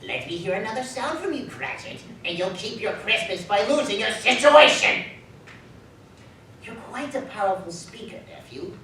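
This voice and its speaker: A woman's voice: mid-range voice, expressive, normal speed, lightly acted. A woman playing a bitter, miserly old man in a live radio drama, scornful, curt and emphatic. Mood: curt, dismissive. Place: live community theatre performance. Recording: roomy live stage, distant mic, faint noise.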